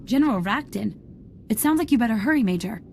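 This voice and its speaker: Game army aide girl, highish voice, talks fast, keen and polite. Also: expressive, slight background noise. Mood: eager, polite. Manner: polite, eager, brisk. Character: eager, polite young female military assistant. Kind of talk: video game voice acting.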